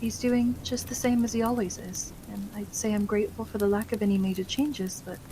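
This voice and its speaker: A woman's voice: mid-range voice, fairly even intonation, a little fast, dark tone, a little hiss or hum, lightly acted, poor audio. A woman playing a caring, genteel young Victorian wife and hostess in an ASMR roleplay, with soothing, polite, old-fashioned phrasing. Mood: affectionate.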